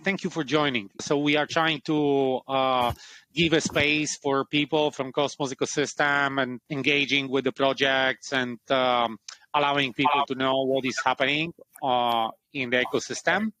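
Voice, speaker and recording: A man's voice: mid-range voice, fairly even intonation, normal speed, bright, forceful tone, a little hiss or hum, rough recording. A man hosting a podcast interview, talking hesitantly with many fillers. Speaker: podcast host.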